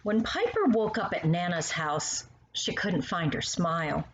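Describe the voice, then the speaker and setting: Animated woman reading to children, roomy sound. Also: fairly low pitch, a little slow. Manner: animated, addresses the young listener directly. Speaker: author reading aloud to young children. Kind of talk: expressive children's poem and storybook reading. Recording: roomy, muffled sound with faint noise.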